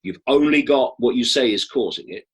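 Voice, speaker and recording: A man explaining his points in a halting, emphatic way, counting them off. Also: medium pitch, animated, slight background noise. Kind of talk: explanatory talk.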